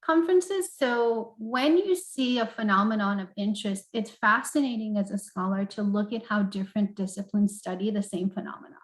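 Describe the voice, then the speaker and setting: Thoughtful woman professor in an echoey room. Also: medium pitch. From Turkey. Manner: thoughtful, measured, frequent "um" pauses. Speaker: professor, interview guest. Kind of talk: academic interview answers. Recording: roomy echo.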